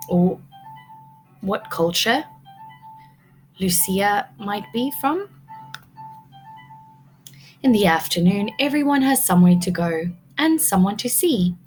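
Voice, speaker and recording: Slow-paced woman reading a storybook aloud. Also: medium pitch, low-quality recording. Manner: expressive, prompting listeners with questions. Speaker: early learning teacher reading a picture book aloud. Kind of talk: read-aloud storytime with questions to children.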